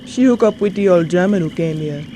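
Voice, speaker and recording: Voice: medium pitch, a little fast, noisy background, natural voice. A performer playing a superstitious, frightened townsperson in a video game, speaking dramatic broken English with French words. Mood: fearful, ominous. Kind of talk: video game cutscene acting.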